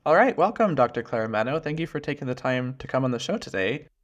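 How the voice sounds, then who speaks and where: Man hosting a podcast, clear and earnest. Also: mid-range voice, very animated, fast, lo-fi sound, faint music behind. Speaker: podcast host. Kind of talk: scripted intro and interview questions.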